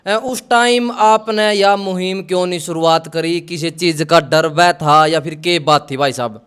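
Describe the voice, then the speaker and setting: Clear-voiced Haryanvi man interviewing, slightly high. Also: unhurried, fairly bright tone. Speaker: media interviewer. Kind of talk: live call interview in colloquial Hindi.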